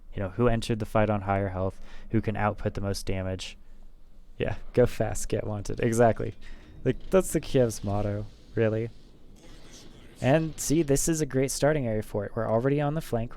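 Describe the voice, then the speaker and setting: A man chats his way through a live game stream, his voice gravelly yet smooth and resonant. He rambles and thinks aloud, full of fillers and restarts. He talks close to a desk microphone. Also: mid-range voice, a little mellow. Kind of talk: casual gameplay commentary.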